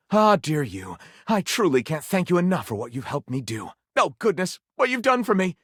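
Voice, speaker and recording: A man's voice: sits high, a bit bright, dry recording, clean studio sound, exaggerated voice. A man voicing a theatrical, bookish living-library character in a video game, effusive and florid in diction. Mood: delighted. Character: flamboyant, theatrical bookish living library, eager and easily wounded.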